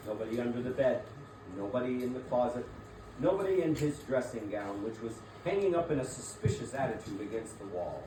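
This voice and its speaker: A man narrating a classic tale as part of a dramatic theatrical reading. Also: mid-range voice. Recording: echoey room, distant mic, clear background noise.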